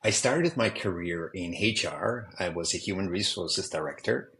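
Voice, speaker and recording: A man from Switzerland speaks as a guest on a remote podcast, sitting a bit low in pitch. He is earnest and reflective, and he acts out past conversations as he tells them. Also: animated, moderate pace, fairly bright tone. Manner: earnest, reflective, quotes others in dialogue. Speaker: executive coach, guest. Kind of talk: podcast interview, storytelling. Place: remote call.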